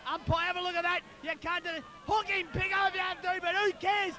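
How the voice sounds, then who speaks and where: Male Australian footy co-commentator, piercing and high, voice strained from shouting, urges his team on in slangy, biased bursts. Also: quick pace. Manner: excited, urging players on, colloquial. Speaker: amateur football co-commentator. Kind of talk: partisan live sports commentary.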